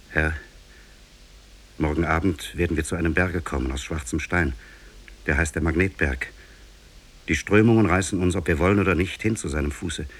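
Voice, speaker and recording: An actor playing a grave shipwrecked prince, recounting his ordeal in solemn, measured, literary 1950s radio drama style. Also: sits low, fairly bright tone, husky. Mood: grave foreboding. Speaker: storytelling character in a radio play. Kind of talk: 1950s German radio drama, literary fairy-tale narration.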